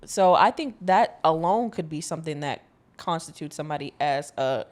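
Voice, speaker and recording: A woman chats as a panelist on a podcast in a slightly low voice. She is candid and informal, putting her opinions in plain conversational language. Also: lively intonation, normal speed, darkish tone. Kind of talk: casual chat.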